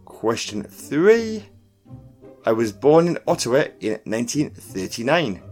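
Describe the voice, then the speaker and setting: A man hosting a quiz podcast, reading out scripted questions and clues in a deliberate announcing manner. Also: mid-range voice, big pitch swings, a little mellow. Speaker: pub quiz podcast host. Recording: music bed under the voice. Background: background music.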